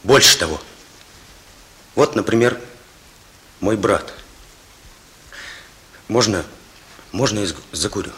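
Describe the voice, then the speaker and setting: An actor in a Soviet radio drama playing an earnest, indignant young police operative, speaking with halting, emotional intensity. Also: male voice, fairly low pitch, a bit bright. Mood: bitter, agitated.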